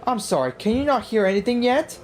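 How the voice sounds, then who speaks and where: Girl streaming a game, slangy casual reactions, super lively intonation, quiet music behind. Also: a little high, fairly quick. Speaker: game livestreamer. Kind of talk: casual gameplay commentary, reading dialogue aloud.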